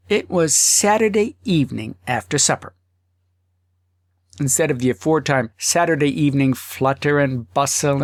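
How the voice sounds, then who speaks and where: A man narrating a humorous literary story as an audiobook, phrasing it deliberately and expressively with pauses. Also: mid-range voice, no room sound, clean studio sound.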